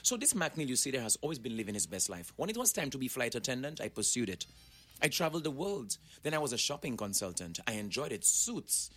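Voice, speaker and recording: Husky, lively man in his forties. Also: mid-range voice. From Saint Lucia. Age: 40s. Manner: preachy, emphatic, addresses listeners directly. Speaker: guest, motivational author. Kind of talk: animated motivational talk.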